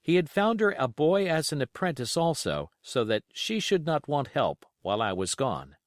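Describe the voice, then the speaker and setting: A man reading a classic adventure novel aloud as an audiobook, in an expressive, measured storytelling delivery. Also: on the high side, no room sound, clean studio sound. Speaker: audiobook reader.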